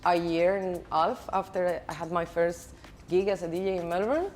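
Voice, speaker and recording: A woman from Chile, raised in Spain, who speaks. She is a DJ and event organizer giving relaxed, chatty interview answers full of "like" and "you know". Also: fairly low pitch, normal speed, faint music behind. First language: Spanish.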